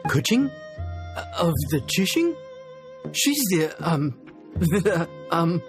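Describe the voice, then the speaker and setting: Animated, eager inn chef. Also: male voice, fairly high pitch, takes it slowly, music in the background, full character voice. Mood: flustered. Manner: hesitant, colloquial, eager to please. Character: humble, eager, friendly male inn chef, slightly flustered. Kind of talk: animated video game voice acting.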